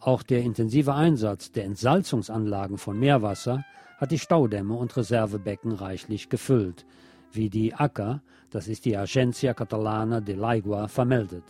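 Mellow-voiced man reading radio news. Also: on the low side, normal speed, soft background music. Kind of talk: scripted radio news reading with wry commentary.

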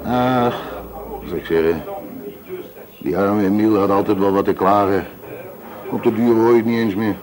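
A man's voice: lowish voice, moderate pace, pauses often, darkish tone, natural voice, low-quality recording. An actor playing an easygoing factory worker in a radio play, casual, hesitant and vague in his answers. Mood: evasive, casual. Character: evasive, easygoing factory worker, café regular. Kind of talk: Dutch radio play acting. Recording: radio play with busy café chatter.

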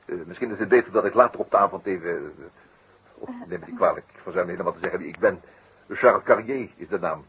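A man acting the part of a courteous, probing French chief inspector in a Dutch radio drama, speaking in a measured, urbane way. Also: low-pitched, a bit bright. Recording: dull, noisy 1960s radio recording.

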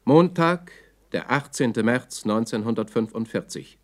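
Man, radio chronicle announcer, middle pitch, clipped phrasing, official, factual, scripted. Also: lively intonation, a little slow.

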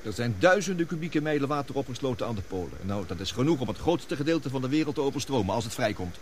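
A grave, guilt-ridden scientist played by a male actor in a radio drama. He explains things deliberately in a medium-pitched voice. Light noise sits on the old recording. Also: animated, close to an everyday voice. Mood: grave explaining.

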